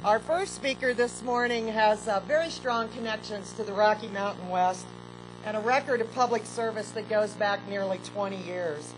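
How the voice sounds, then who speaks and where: A woman park superintendent giving a formal, measured welcome speech at an outdoor ceremony, speaking into a podium microphone with occasional fillers. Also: mid-range voice, background noise.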